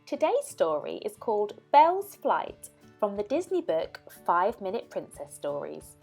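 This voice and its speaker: A woman reading a children's story aloud as narrator, with expressive, dramatic emphasis. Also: medium pitch, unhurried, vocal fry, faint music behind.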